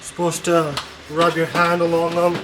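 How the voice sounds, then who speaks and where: A young-sounding man from Canada talks to his camera as he walks, slow and fairly even in tone. His voice sits a little high for a man. Faint street traffic hums behind him. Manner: relaxed, unhurried narration to camera. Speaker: vlogger. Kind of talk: casual walking vlog. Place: outdoors on narrow town streets.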